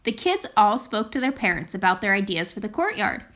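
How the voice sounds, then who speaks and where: A woman reading a children's picture book aloud in an expressive, deliberate storytelling manner with drawn-out emphasis. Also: mid-range voice. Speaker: storytime reader.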